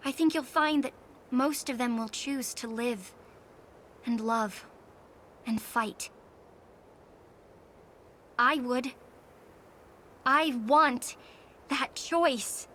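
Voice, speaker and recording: A woman voice acting an earnest, pleading young woman in a dramatic, deliberate video game performance. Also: high voice, sharp tone, slight background noise, heavily acted.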